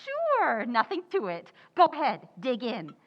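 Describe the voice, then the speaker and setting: A woman's voice: high voice, wildly swooping pitch, unhurried, full character voice. A woman playing a stubborn, overconfident housewife who cannot cook, in a theatrical old-time radio sitcom style. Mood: cheerful, defensive. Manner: theatrical, breezy, bluffing. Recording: echoey hall, dull muffled sound.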